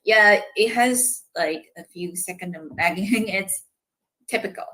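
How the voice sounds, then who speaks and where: A woman's voice: mid-range voice, measured pace, bright, forceful tone. A woman hosting a live audio session, welcoming guests in an upbeat, chatty way. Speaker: livestream host.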